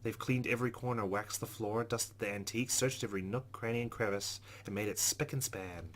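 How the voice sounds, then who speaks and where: A man's voice: on the low side, a little fast. A man hosting a let's play, reading visual novel narration aloud and adding asides. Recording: loud steady background noise.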